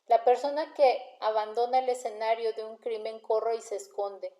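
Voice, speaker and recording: A wry, defiant male drifter read by a woman in a crime audiobook. He argues back sardonically and without hurry, in a mellow, rather high voice. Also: steady tone, echoey room, natural voice. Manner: sardonic, argumentative, unhurried retorts. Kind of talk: audiobook character dialogue.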